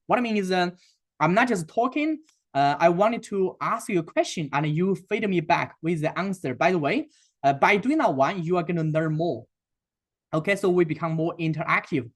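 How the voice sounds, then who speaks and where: A man with a Chinese accent presenting a sales webinar, talking in a halting way with many fillers and restarts. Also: high-pitched, expressive. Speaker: webinar host and sales coach.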